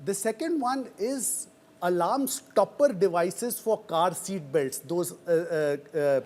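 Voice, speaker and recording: A man with an Indian accent explaining a story as a news reporter in a hesitant, halting way. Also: sits high, darkish tone. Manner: hesitant, halting delivery with frequent pauses.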